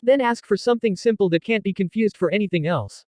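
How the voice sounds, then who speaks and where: A man playing an eager, carefree teenage boy in a visual novel, talking in animated slang. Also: high-pitched, fast talker, dry recording, studio quality, natural voice. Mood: pushy, casual.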